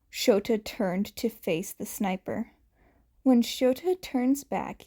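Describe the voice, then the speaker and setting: A woman's voice: on the high side, dark tone. A woman narrating a scripted story as a podfic reader, occasionally stumbling over the script.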